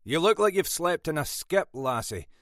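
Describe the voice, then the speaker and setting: A man's voice: a little high, big pitch swings, normal speed, fairly bright tone, no room sound, studio quality, voice pushed up. A man voicing a blunt, scolding older Scottish detective boss in an audiobook. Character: gruff older Scottish male detective boss, stern but fatherly. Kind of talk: audiobook character dialogue.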